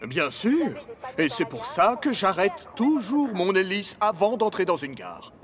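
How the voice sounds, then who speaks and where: A man voicing an earnest, wistful railway zeppelin engine in a children's cartoon, speaking in a polite, puzzled, animated way. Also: high voice, measured pace, a crowd in the background, full character voice. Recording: music and vehicle sounds behind.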